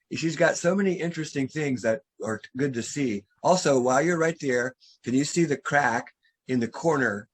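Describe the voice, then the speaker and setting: A man's voice: mid-range voice, moderate pace, slight background noise. A man, a drainage contractor, who is losing his voice, talks a homeowner through materials on a video call, explaining step by step. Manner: instructive, restarts and fillers, explains step by step. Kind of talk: remote consultation walkthrough.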